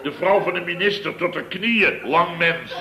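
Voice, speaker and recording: An actor playing a blustering middle-aged contractor boss who rambles through an exasperated tale, in 1960s radio comedy acting. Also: male voice, high voice, moderate pace, gravelly, roomy sound, crowd noise, low-quality recording. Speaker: construction firm boss.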